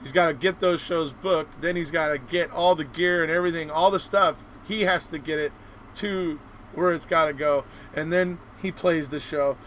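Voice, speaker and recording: A man's voice: a little high, steady tone, moderate pace, fairly bright tone, slight background noise, low-quality recording. A man hosting a live online show, chatting casually in an encouraging, rambling way. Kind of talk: casual interview chat.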